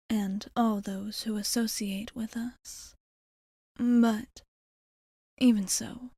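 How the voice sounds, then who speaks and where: A woman voicing a composed, protective vampire bartender in a soft, formal ASMR roleplay, half-whispered close to the microphone. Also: fairly high pitch, no echo, exaggerated voice. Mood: protective, resolute. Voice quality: soft, breathy, often near a whisper. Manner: formal, measured, hesitant wording. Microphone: close microphone, dry sound.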